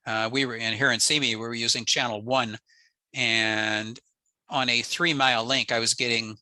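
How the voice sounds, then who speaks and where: Older American man, very sharp bright tone, matter-of-fact, informal technical presentation, dry sound, medium pitch. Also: no echo, low-quality recording. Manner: matter-of-fact, plain-spoken. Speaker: presenter, amateur radio enthusiast. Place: indoors, on a video call.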